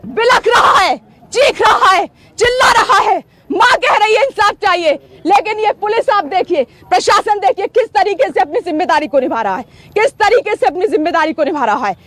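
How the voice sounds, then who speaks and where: A woman's voice: very high voice, a bit bright. A woman TV field reporter doing confrontational live reporting, insistent and indignant, repeating pointed questions and demands with heavy emphasis. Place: outdoors at a police roadblock.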